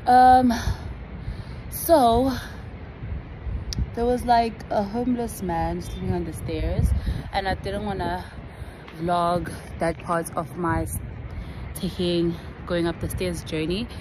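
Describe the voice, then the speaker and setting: A woman's voice: lowish voice, flat delivery, slow, pauses often. A young woman from Namibia in her twenties, chatting casually to her phone in a selfie vlog, rambling with plenty of fillers. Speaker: vlogger. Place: outdoor rooftop terrace, then indoors. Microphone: phone held at arm's length. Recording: phone audio with background noise.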